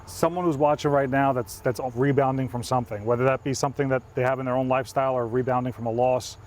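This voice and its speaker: Fast-talking enthusiastic male host. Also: mid-range voice, steady tone, darkish tone, a little hiss or hum. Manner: enthusiastic, affirming, quick. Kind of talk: casual interview chat. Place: outdoors by a parked car.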